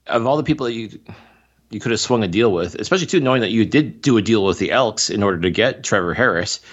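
Deep-voiced man giving sports opinions. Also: animated, quick pace, fairly bright tone, highs cut off. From Montreal. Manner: opinionated, analytical, talks at length. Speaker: podcast co-host. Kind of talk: casual sports chat.

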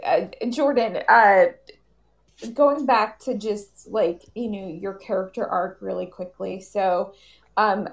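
Creaky-voiced woman moderating casually. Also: medium pitch. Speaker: panel moderator. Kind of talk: casual interview questioning.

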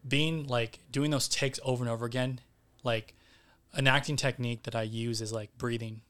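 A man's voice: medium pitch, steady tone, normal speed, a bit bright. A man, an actor and podcast guest, talking casually with many fillers and restarts.

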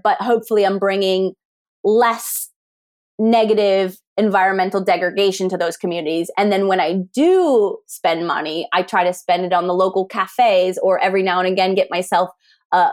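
American woman academic being interviewed, reflective, questioning. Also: medium pitch. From United States. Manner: thoughtful, asks rhetorical questions, frequent restarts. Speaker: tourism academic guest. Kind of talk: conversational interview.

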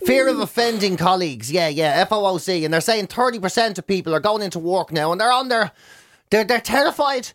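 A middle-aged Irish man with a notably high voice talks as a radio co-host. He banters casually and spins comic anecdotes, slipping into acted-out bits of what other people say. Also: brisk, dry recording, studio quality. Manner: animated storyteller who acts out other people's remarks.

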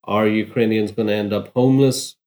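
Measured man, slightly low voice, analysing. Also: fairly even intonation, dark tone. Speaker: journalist, newspaper bureau chief. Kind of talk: unscripted interview commentary.

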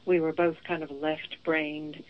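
A woman raised in Boston, a guest on a radio show, speaking in a calm and reflective way. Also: low-pitched, measured pace, very noisy. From born in Venezuela, raised in Boston. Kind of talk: radio interview. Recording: dull phone-line call-in.

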